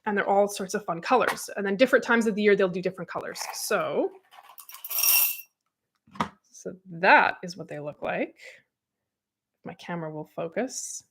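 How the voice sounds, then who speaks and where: A woman's voice: mid-range voice, moderate pace, long pauses, fairly bright tone, breathy edge. Chatty woman, rambling casual talk. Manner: chatty, rambling, self-deprecating, many "like"s. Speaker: podcast co-host. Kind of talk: casual chat.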